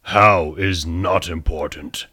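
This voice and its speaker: A man voicing a morbid, menacing sand demon in a radio play, speaking slowly and with command. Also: deep voice, fairly even intonation, sharp tone, no room sound, studio quality, full character voice.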